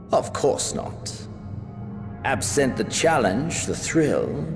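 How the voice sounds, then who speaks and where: An actor playing a menacing, cultured prince villain obsessed with battle, speaking with grandiose, formal deliberation in a video game cutscene. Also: male voice, mid-range voice, creaky, exaggerated voice. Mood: cold, taunting.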